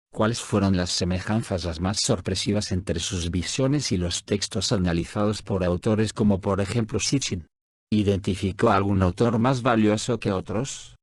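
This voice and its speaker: A man reading both the questions and the answers of an interview aloud in a measured, even voice-over. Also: on the low side, dry recording, clean studio sound. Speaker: voice-over reader of a written interview.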